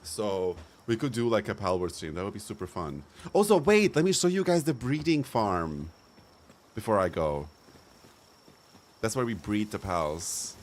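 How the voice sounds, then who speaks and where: A man's voice: lowish voice, sing-song, a little slow. Campy, playful young man streaming. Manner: campy, playful, laughs often, slangy. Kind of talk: casual live-stream chat over gameplay.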